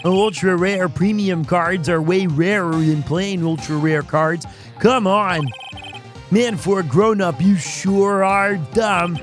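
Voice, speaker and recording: A man's voice: high-pitched, takes it slowly. A man voicing a bratty know-it-all young boy from a video game, with a haughty, lecturing manner. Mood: smug, lecturing. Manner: haughty, lecturing, stammering when flustered. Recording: music behind the voice.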